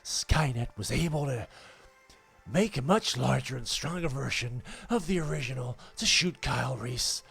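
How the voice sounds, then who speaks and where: A man's voice: mid-range voice, lively intonation, normal speed, creaky, a bit bright, faint music behind. A man doing a comic impression of a drawling older American film star, reading a letter in a strained, theatrical voice. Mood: hammy, drawling.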